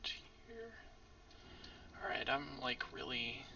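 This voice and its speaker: Hoarse man mumbling through a game. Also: medium pitch, sing-song, takes it slowly, pushed and bright, recorded from a distance, muffled recording, a little hiss or hum. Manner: hoarse, mumbling, often half-whispered. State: losing his voice from illness. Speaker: streamer playing a game. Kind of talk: casual let's-play commentary.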